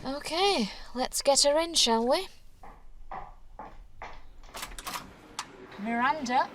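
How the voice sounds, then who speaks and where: A woman playing a businesslike casting director running auditions, courteous and smoothing things over, in naturalistic audio drama. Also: high voice, animated, measured pace, slight background noise. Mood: brisk.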